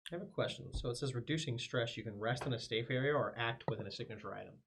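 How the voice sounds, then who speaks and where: A man's voice: mid-range voice, fast talker, gravelly, fairly bright tone, a little hiss or hum. A man playing a tabletop game, casually asking about rules and describing his moves.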